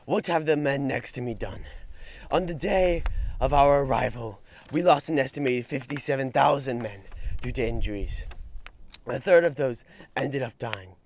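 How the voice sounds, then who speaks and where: A man's voice: mid-range voice, moderate pace, a little hiss or hum, natural voice. A young man reading aloud as a grim, weary soldier writing a letter home from the trenches, in a solemn, dramatic voice. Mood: bitter. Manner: solemn, mournful, sometimes hushed.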